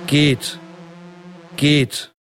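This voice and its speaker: A man reciting in a measured way for a radio play. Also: a little high, level tone, a bit bright, faint music behind.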